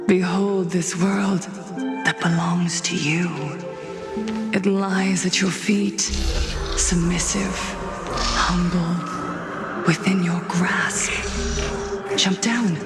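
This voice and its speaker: A woman's voice: fairly low pitch, little pitch movement. A woman playing a godlike illusionist tempter in a video game, grandiose and coaxing, soothing with menace underneath. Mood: hypnotic, coaxing. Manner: slow, grandiose, coaxing delivery. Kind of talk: scripted video game voice acting. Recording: echoing voice over game music.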